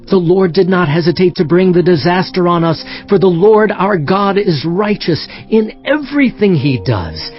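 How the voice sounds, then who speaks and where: A man playing a devout, earnest Hebrew prophet in a dramatized audio Bible, with a fervent, reverent delivery. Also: fairly high pitch. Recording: slightly dull audio, music underneath. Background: background music.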